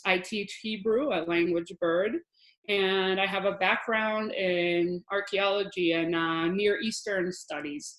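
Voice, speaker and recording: A woman in her thirties from Israel teaching a lesson over a laptop video call, explaining in a chatty way with lots of restarts and little laughs. Her voice sits at an ordinary middle pitch for a woman. The room around her is echoey. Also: a little slow, a bit bright. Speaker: Hebrew teacher. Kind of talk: online lesson with shared slides.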